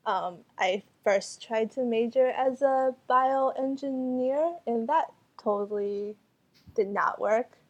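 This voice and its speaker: A woman's voice: on the high side, takes it slowly, muffled recording. A young woman artist whose first language was Cantonese answers interview questions hesitantly, with many fillers and restarts. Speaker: artist and photographer.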